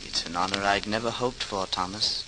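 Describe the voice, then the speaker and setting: An actor playing a modest, earnest and dedicated potter in an old-time radio drama, on a noisy vintage recording. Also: male voice, on the low side, normal speed, close to an everyday voice. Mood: humble, earnest.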